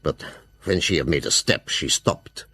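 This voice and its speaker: An old vampire-hunting professor in a gothic audio drama. His voice is extremely low and badly rasped. He narrates his journal slowly and gravely, full of dread. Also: expressive, sharp tone, dry recording, heavily acted. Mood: solemn, fearful. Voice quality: gravelly, husky.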